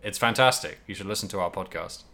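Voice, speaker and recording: A man's voice: low-pitched, fast, creaky, pushed and bright. A man co-hosting a podcast, chatting casually about video games. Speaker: podcast co-host.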